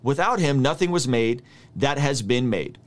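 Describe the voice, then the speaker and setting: A man in his thirties, a native Russian speaker, giving an energetic, slangy Bible study lesson. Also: mid-range voice, normal speed. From United States. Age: 30s. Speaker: Bible teacher. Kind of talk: casual video teaching.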